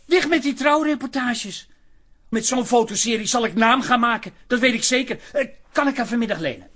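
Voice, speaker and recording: An actor playing an eager, blithe grown son in a Dutch radio comedy, chatty and emphatic. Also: male voice, very high voice, a little fast, sharp tone, exaggerated voice. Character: eager, blithe adult son, aspiring photographer.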